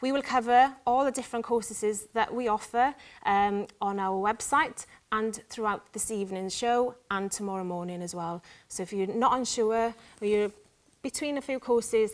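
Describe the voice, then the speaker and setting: A woman's voice: mid-range voice, moderate pace, few pauses. A woman hosting a live online show, upbeat and encouraging as she introduces guests and asks them questions. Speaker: host. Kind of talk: presenting a live stream show.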